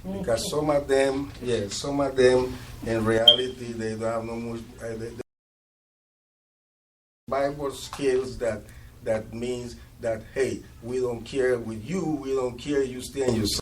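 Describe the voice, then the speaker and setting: Man, Panamanian Spanish accent, halting, many fillers, distant mic, faint background noise. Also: mid-range voice, fairly even intonation. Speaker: community meeting speaker. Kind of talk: public comments at a meeting. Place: art gallery meeting room.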